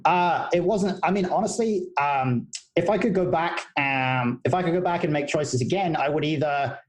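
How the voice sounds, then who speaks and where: A man in his thirties from the UK with a British accent softened by American influence, talking easygoingly and thoughtfully as a podcast guest with frequent restarts. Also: on the high side, normal speed, poor audio. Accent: British, partly Americanized. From United Kingdom. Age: 30s. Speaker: guest, poker player and coach. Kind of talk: casual podcast interview.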